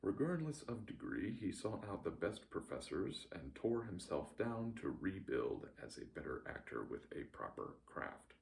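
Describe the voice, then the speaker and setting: A man reading a scripted recap as a narrator, with a dry, deadpan delivery. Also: low-pitched, normal speed, gravelly, few pauses, a little hiss or hum.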